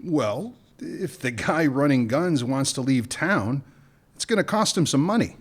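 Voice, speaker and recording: A man acting a smooth-talking gun runner in a radio play, wry and bargaining, with dry jokes. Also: mid-range voice, expressive, a little slow. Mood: scheming.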